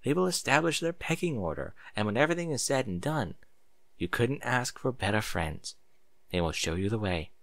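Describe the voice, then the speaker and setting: Man voicing a lively, serene pony princess. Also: medium pitch, normal speed, gravelly, no room sound. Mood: reassuring. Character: serene, kindly motherly pony princess, wise mentor.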